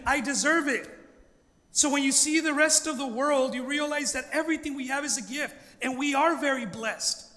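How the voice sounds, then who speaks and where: Guy pastor from los angeles giving an earnest talk, bright voice, long reverb like a big hall. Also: very high-pitched. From Los Angeles, United States. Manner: earnest, emphatic, with rhetorical questions. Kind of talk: informal public presentation.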